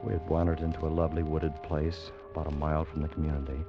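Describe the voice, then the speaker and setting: An actor playing an earnest young time traveller who narrates, in 1940s radio drama style. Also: male voice, extremely low pitch, level tone, quick pace. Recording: dull old radio recording with music.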